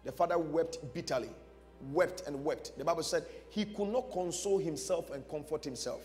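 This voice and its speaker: Male pastor, higher-pitched, emphatic sermon storyteller in a reverberant hall. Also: normal speed, darkish tone. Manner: emphatic, repeats short phrases, acts out dialogue. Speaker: pastor preaching. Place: large church stage before a seated audience. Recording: music behind, long hall reverb.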